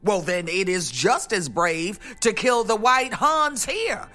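An actress in a radio drama playing a stern, resolute activist who speaks with deliberate, oratorical force. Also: female voice, mid-range voice, lively intonation, pushed and bright.

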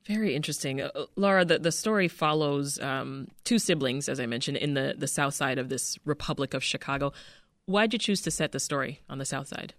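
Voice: mid-range voice, a little fast, a bit bright, no echo. Radio interviewer with a dry, close sound. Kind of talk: conversational interview hosting.

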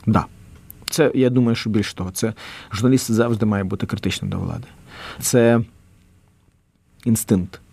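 A man's voice: on the low side, normal speed, long pauses, a little mellow, no room sound. A man from Ukraine, a journalist, answering interview questions thoughtfully with hesitations and fillers.

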